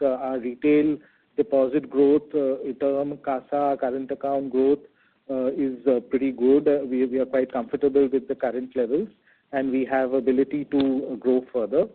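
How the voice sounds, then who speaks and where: A man with an Indian accent answering questions as a bank executive on an earnings call, speaking in a halting, measured, hedging way over a phone conference line. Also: medium pitch, narrow, tinny audio. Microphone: telephone conference call.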